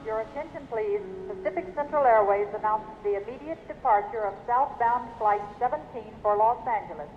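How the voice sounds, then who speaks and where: A woman's voice: fairly high pitch, a little fast, few pauses, soft background music. A woman playing a formal, impersonal airport announcer over an echoing public-address speaker in a 1950s radio drama. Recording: tinny old radio, long echoing reverb.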